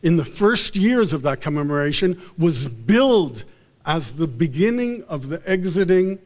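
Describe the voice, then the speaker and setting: Halting, higher-pitched male lecturer. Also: very animated. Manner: halting, often repeats and restarts words and phrases. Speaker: professor, lecturer. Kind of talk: academic lecture, unscripted.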